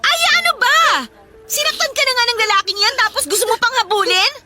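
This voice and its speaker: A woman's voice: extremely high pitch, expressive, a little fast, pushed and bright, soft background music, heavily acted. A woman playing an outspoken, protective young best friend in a radio drama, scolding and indignant. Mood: exasperated, scolding. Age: young adult. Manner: scolding, sassy.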